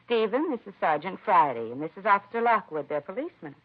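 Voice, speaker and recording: A woman's voice: mid-range voice, sing-song, fast. An actress playing an earnest, indignant woman who runs a children's home in a 1950s radio drama, plain-spoken and heartfelt. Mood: gentle, earnest. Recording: dull vintage radio recording.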